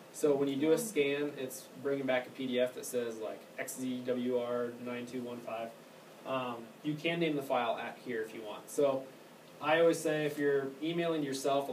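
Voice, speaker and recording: A man leads a casual hands-on training session as a technician. He explains things haltingly, in an everyday way, and tends to trail off. The recording is distant and echoey, with clear noise behind him. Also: mid-range voice. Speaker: copier technician giving staff training. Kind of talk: informal hands-on product training. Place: indoor room at an elementary school.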